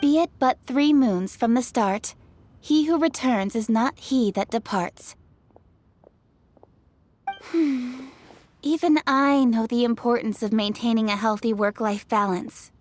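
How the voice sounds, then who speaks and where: A woman's voice: a little high, slow, pauses often, darkish tone, a little hiss or hum. A woman voicing a poised, efficient young official in a video game, composed and articulate. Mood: lecturing.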